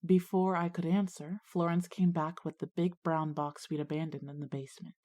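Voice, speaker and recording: A woman's voice: lowish voice, little pitch movement, moderate pace, warm tone, natural voice. A woman playing an earnest, uneasy schoolgirl who narrates her own ghost story in an audio drama. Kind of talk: scripted first-person ghost story narration and dialogue.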